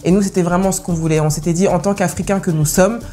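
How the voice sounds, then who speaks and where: A young woman with a Parisian French accent, chatting as an interview guest, lively, laughing often and cutting in on her partner. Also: on the low side, quick pace. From France. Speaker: guest, content creator. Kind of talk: casual interview chat.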